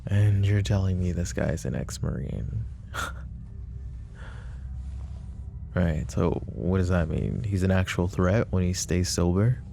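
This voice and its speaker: Man voicing a gravelly, possessive mafia boss. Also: a little hiss or hum. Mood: probing. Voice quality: deep, gravelly voice. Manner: low, measured, quietly menacing. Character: possessive, protective adult male mafia boss. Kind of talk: ASMR roleplay audio drama.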